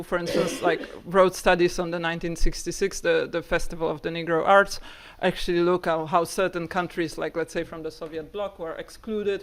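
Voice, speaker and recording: A woman researcher answering audience questions after a talk, rambling with many fillers like "like" and "you know". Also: fairly low pitch. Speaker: guest speaker, architecture researcher.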